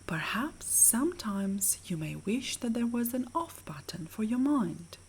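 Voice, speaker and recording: A woman with a soft, breathy voice narrating a scripted guided sleep meditation in a soothing, measured, gently instructing way. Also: on the high side, very animated, slight background noise.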